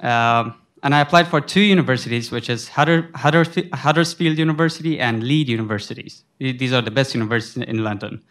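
A man's voice: medium pitch, quick pace, few pauses, a bit bright. A young man from Afghanistan, a student on a panel, telling a long rambling story full of restarts. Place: college library.

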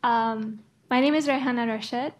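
A nineteen-year-old woman from Afghanistan, a student panelist, speaking in a shy, halting way. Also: on the high side, fairly even intonation. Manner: shy, halting, with soft laughs.

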